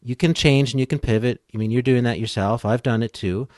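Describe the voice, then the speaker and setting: A man's voice: medium pitch, fairly quick, darkish tone, no echo, clean studio sound. A man speaking as an expert guest in a conversational, explanatory way, offering encouraging advice.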